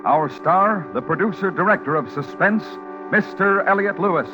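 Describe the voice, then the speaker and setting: A thin, band-limited vintage radio recording with music behind a male announcer. He speaks in a fairly high, lively register, with the emphatic showmanship of a 1950s sponsor pitchman. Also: normal speed, lo-fi sound. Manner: theatrical, emphatic salesmanship.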